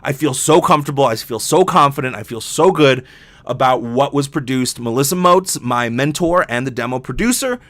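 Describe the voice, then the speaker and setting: Animated, rambling man, dry close sound. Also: medium pitch, normal speed, dry recording. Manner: animated, rambling, profane, self-interrupting. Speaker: podcast host, voice actor. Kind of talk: casual solo podcast chat.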